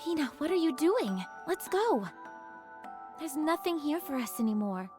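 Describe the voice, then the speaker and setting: A woman playing a composed, gentle young female squad leader in a dramatic video game, with earnest, measured, polished delivery. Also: high voice, big pitch swings. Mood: puzzled, urging. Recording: game dialogue over clear background music.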